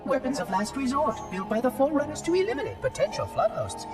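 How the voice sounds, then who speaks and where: A man's voice: very high-pitched, very animated, quick pace, far from the mic, music in the background. A man voicing an ancient, pedantic robotic AI that explains things in a precise, matter-of-fact way. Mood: lecturing.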